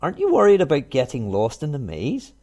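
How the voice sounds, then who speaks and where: A man's voice: fairly high pitch, huge pitch swings, a little fast, mellow, pitched above the performer's own voice, full character voice. A man voicing a small, helpful, scatterbrained squirrel in a children's storybook, innocent in manner. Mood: worried.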